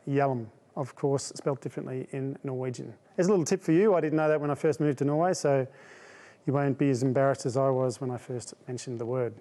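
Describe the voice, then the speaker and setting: A man's voice: on the high side, dark tone. A man with an Australian accent presenting as a webinar host, conversational, informal and self-effacing. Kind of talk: conversational presenting to an online audience.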